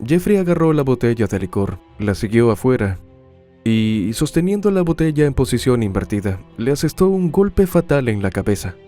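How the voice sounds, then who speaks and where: Animated male true crime narrator. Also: medium pitch.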